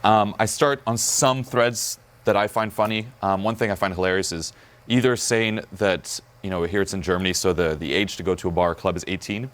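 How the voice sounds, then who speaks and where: A man coaching about dating in casual, profane talk, acting out quick bits of dialogue. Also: low-pitched, fairly even intonation, a bit bright.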